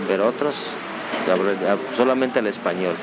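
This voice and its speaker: A man, answering interview questions informally and haltingly, often repeating and searching for words. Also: lowish voice. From Puerto Alegría, Amazonas. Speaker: interviewee, local villager. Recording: noisy outdoor recording, vehicle and ambient noise.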